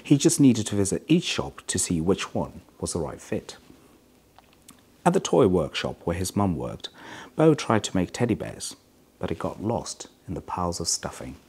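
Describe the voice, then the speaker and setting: Deep-voiced, husky man reading a storybook. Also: big pitch swings, normal speed, a little mellow. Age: middle-aged. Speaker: hospital chief executive reading a children's story.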